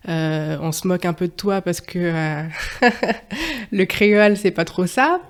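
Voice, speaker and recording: A woman's voice: lowish voice, unhurried. Young woman guest chatting on a podcast, lots of euh and little laughs. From France, raised partly in Martinique. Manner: hesitant, many fillers, laughs often. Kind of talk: casual interview testimony.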